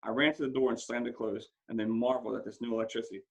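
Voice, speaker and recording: A man reading a first-person story aloud in an expressive, dramatic way, occasionally stumbling over a word. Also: medium pitch, very fast. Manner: dramatic, acts out dialogue, stumbles over words now and then. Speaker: school principal reading a book aloud.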